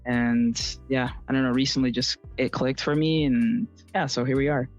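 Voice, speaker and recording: A man speaking casually and haltingly as a podcast co-host, feeling out thoughts as they come. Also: mid-range voice, fairly even intonation, faint music behind. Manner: hesitant, halting delivery. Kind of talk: casual personal conversation. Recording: slightly dull lo-fi sound.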